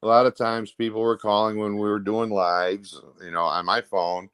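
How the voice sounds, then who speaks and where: A man's voice: fairly low pitch, little pitch movement, moderate pace, a bit bright, clear, resonant tone, dry recording. A man chatting casually as an interview guest, blunt and folksy, in short rambling phrases. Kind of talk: casual chat.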